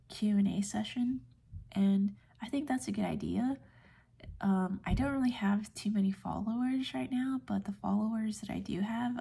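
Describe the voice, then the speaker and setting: Woman, late twenties, American accent. Hushed, whispery ASMR delivery with creaky fry and a relaxed tone, gentle and informal. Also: medium pitch. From United States. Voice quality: soft, whispery, breathy. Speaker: ASMR video creator. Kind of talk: soft-spoken ASMR rambling.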